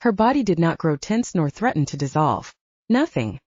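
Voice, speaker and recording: A woman's voice: on the low side, very animated, normal speed, darkish tone, no echo, muffled recording. A woman reading literary fiction as a scripted audiobook narration, moving between story and dialogue.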